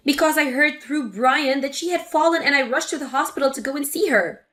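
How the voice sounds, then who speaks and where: A woman's voice: sits high, a little fast, soft background music. A woman voicing an angry grown daughter who confronts her mother sharply. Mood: indignant. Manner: sharp, indignant.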